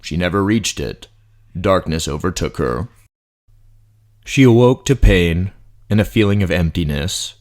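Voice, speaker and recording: Male audiobook narrator: lowish voice, animated intonation, dramatic scripted fantasy storytelling. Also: unhurried, pauses often.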